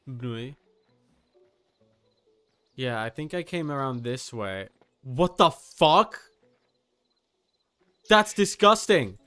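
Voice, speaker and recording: A man streams a game with casual, off-the-cuff commentary. His voice is clean and smooth, and he takes his time between short, sweary muttered reactions. Music plays faintly behind him. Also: medium pitch, expressive, slow, long pauses, pushed and bright. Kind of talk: casual live gameplay commentary.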